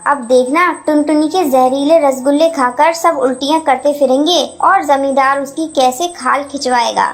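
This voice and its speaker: A woman's voice: sits high, fairly even intonation, moderate pace. A woman voicing a spiteful, scheming young female bird villain in a cartoon, menacing and boastful. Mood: spiteful, gloating.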